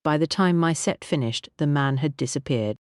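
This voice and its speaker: A narrator voicing a tall, glamorous American woman entertainer with a soft, smooth American accent, affectionate and motherly. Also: deep voice, moderate pace, dry recording, studio quality, natural voice. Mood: confiding. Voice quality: smooth, honeyed drawl. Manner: affectionate, calls everyone honey.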